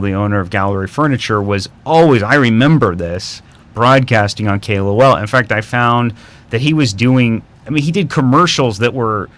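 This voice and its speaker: A man being interviewed as a radio history expert, talking in a casual, conversational way. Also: medium pitch.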